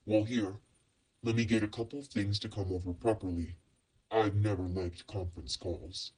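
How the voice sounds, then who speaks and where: A man's voice: on the low side, steady tone, normal speed, a little mellow, slightly rough, poor audio. A man playing a cold, menacing, possessive father in an acted fan fiction audio drama, icily polite and biting. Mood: calm, friendly menace.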